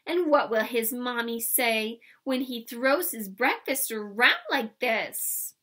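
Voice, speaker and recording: A woman's voice: a little high, lively intonation, slow pace, pushed and bright, full character voice. A woman voicing a fearless little girl who mocks sweetly. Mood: teasing. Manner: sweetly mocking. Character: cheeky, fearless little girl, strong and playful.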